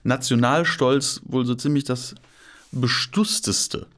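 A man's voice: medium pitch, slow pace, a bit bright. A man born near Paris to German parents, co-hosting a podcast in casual chat, with many hesitations and fillers. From born near Paris, raised by German parents.

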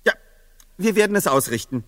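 An actor playing a confident teenage detective leader in a radio play, composed and articulate. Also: male voice, high-pitched, big pitch swings, fairly quick, background noise. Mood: guarded, polite. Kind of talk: German radio play acting.

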